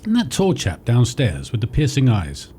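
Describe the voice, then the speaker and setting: An actor playing a lost gentleman traveller in a radio drama, formal, polite and theatrical. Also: medium pitch, wildly swooping pitch, normal speed. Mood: polite, uneasy. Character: lost gentleman traveller, well-mannered, excitable sceptic. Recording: clear background noise.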